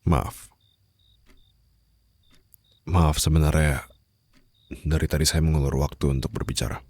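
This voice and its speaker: A man acting a stern but caring mafia boss in an ASMR roleplay, speaking formally and haltingly into a close microphone. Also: extremely low pitch, steady tone, a little mellow, no room sound. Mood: serious, measured. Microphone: close studio-style microphone, dry.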